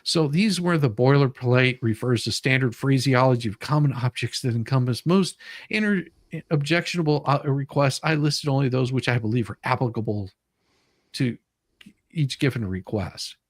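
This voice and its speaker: A man with an average-pitched voice hosts a casual commentary. He reads from documents and breaks them down bluntly. The sound is dry, with little room on it. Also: normal speed, no room sound. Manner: blunt, mildly profane, explanatory. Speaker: online show host. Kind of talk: casual live commentary, reading documents aloud.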